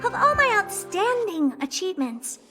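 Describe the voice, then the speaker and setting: Haughty, very high-pitched girlish genius. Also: very animated, moderate pace, over music. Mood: indignant, haughty. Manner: haughty, blunt, dismissive, easily intrigued. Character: haughty, blunt, self-important female genius, owner of a space station. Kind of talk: English video game dub acting.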